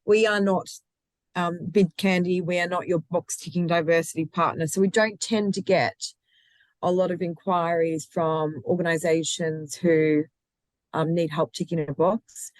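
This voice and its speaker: A woman's voice: fairly low pitch, steady tone, unhurried, dry recording. A woman talking passionately as an interview guest, often restarting her sentences and laughing easily. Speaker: guest, recruitment founder. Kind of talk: conversational interview.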